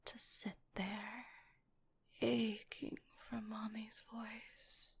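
Very slow, breathy whispering woman. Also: fairly high pitch, little pitch movement. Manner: slow, teasing, commanding, lingering delivery. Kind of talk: intimate whispered ASMR-style roleplay monologue.

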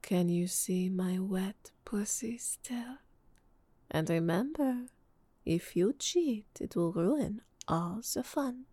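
A woman whispering a teasing, breathy roleplay, slipping in French endearments and soft laughs. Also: mid-range voice, expressive, takes it slowly, no echo. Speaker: audio roleplay performer.

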